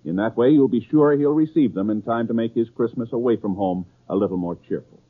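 A man's voice: medium pitch, fairly quick. An American man announcing from a script in 1950s radio style. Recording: tinny old 1950s radio broadcast.

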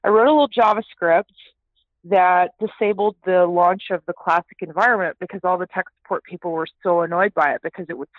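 A woman's voice: lowish voice, fairly even intonation, fairly quick, no echo. Chatty woman on a tinny phone line. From Canada, raised in Texas. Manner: chatty, many restarts and fillers. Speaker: guest, startup CEO. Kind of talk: casual interview talk.

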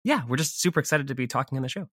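A man's voice: mid-range voice, expressive, rapid-fire, a bit bright, no room sound, clean studio sound. An American man talking casually and effusively as an interview guest about creative work. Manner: enthusiastic, effusive.

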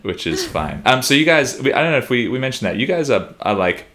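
A man's voice: mid-range voice, sing-song, a little fast, a bit bright. A man co-hosting a podcast in casual, joking, teasing banter over an internet call. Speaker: podcast co-host. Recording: below-par remote call, crowded background noise.

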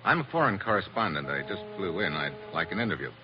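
An American actor playing a tough, casual secret agent in a 1940s radio drama. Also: male voice, low voice, big pitch swings, fast talker, over music. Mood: probing, businesslike. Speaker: lead actor. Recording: muffled, hissy old radio recording.

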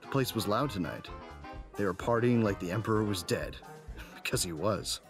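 A man's voice: on the low side, lively intonation, moderate pace, faint music behind. An actor playing a devoted husband and adventurer who narrates his own story in an audio drama. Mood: somber narration.

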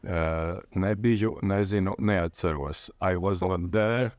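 A man with a Latvian accent, a native Latvian speaker, talking reflectively in an interview and haltingly searching for words. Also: deep voice, a little mellow, dry recording. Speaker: interviewee, literature teacher and playwright.

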